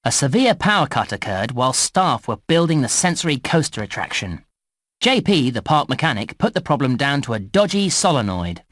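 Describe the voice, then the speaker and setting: A British man voicing a cheerful, encouraging theme park guide in a children's computer game, speaking clearly and enthusiastically. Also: medium pitch, very animated, fairly quick, a bit bright, dry recording. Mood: announcer-like. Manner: enthusiastic, clearly enunciated children's presenter delivery. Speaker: game narrator and tutorial guide. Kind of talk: scripted children's game narration.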